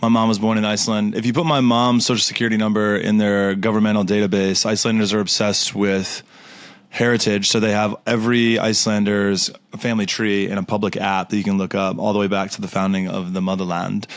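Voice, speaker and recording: Laid-back low-voiced man, dry sound. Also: flat delivery, fast, dry recording. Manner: laid-back, jokey, slangy. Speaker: podcast co-host. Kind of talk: casual chat.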